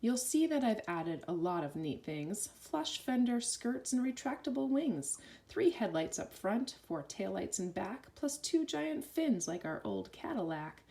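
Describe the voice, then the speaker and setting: A woman reading a rhyming children's story aloud with an expressive, sing-song delivery. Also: medium pitch, a little slow, few pauses.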